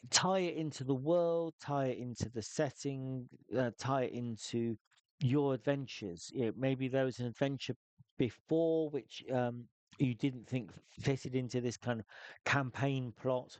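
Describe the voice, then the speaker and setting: A man's voice: mid-range voice, measured pace, darkish tone, dry recording. Man musing through loose solo-game advice. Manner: loose, thinking aloud with many restarts. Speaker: solo tabletop game player giving tips. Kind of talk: casual spoken advice.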